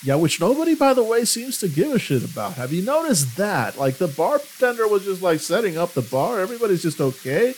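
Jokey young man with a lively voice. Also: high voice, brisk, few pauses, slight background noise, poor audio. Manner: jokey and laid-back, swears casually, laughs often. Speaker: player. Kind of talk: improvised in-character banter.

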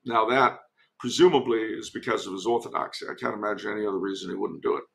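A man's voice: lowish voice, steady tone, fast talker, vocal fry, a bit bright. A man giving casual historical commentary as a podcast guest, digressive and given to wry asides.

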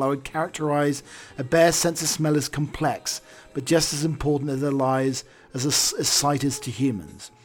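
A man with a croaky voice hosting a podcast, delivering scripted comic monologues and readings in a wry, theatrical manner. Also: on the high side. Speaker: podcast host.

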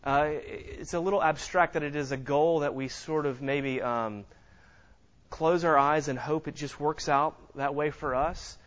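Soft-spoken man preaching without a mic. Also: fairly high pitch, fairly even intonation, dull audio. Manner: soft-spoken, halting with frequent fillers. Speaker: visiting preacher. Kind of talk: sermon with scripture reading. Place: church sanctuary. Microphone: no microphone, distant.

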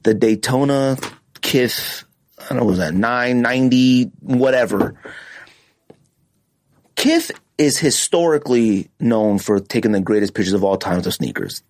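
A man hosts a casual podcast countdown with very lively, swooping intonation. He is blunt and opinionated, leaning on slang and punching key words. The sound is dry, with little room in it. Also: mid-range voice, measured pace, dry recording. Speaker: podcast host. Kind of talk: casual sneaker countdown chat.

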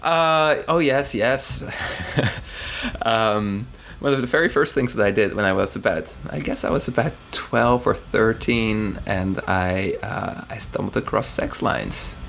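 A man's voice: on the low side, a little slow, gravelly, fairly bright tone, a little hiss or hum. A man with a Dutch accent from the Netherlands, a guest in a conversation, speaking hesitantly with many ums and some laughter. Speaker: interview guest. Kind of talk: casual conversation.

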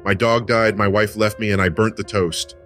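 Actor as a low, miserable, luckless man. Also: level tone, moderate pace, fairly bright tone, faint music behind, close to an everyday voice. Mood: mournful. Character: miserable man listing his misfortunes.

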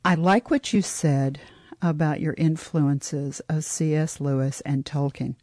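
Deep, mellow-voiced woman interviewer. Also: fairly even intonation, measured pace, no room sound, studio quality. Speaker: podcast interviewer host. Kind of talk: interview chat.

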